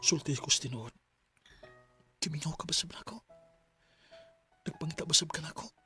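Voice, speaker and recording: Tender young Cebuano suitor over music. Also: male voice, fairly high pitch, moderate pace, sharp tone, husky, heavily acted. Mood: tender, yearning. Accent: Cebuano Filipino. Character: young man confessing long-held love, yearning and tender. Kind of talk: Cebuano radio drama acting. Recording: radio drama with prominent music.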